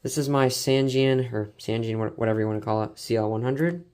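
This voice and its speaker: A man talks casually through a collection like a hobby vlogger. He is enthusiastic and rambling, often doubling back and stumbling over his words. His voice sits at an ordinary middle pitch. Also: brisk, darkish tone, clean tone. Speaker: collector showing weather radios and scanners. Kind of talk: casual collection showcase vlog.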